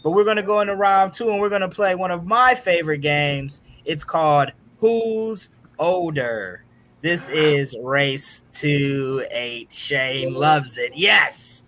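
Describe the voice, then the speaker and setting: A man hosting a casual online trivia game show, announcing questions and results in a deliberate, drawn-out way. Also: fairly high pitch, fairly bright tone, a little hiss or hum.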